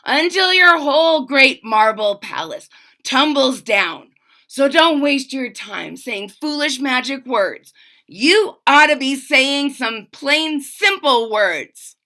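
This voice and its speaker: Brief: a woman reading as a bold boy telling off a king, slow and firm. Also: high-pitched, expressive, pushed and bright, pitched above the performer's own voice, exaggerated voice. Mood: scolding. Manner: scolding, emphatic. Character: bold, blunt young boy.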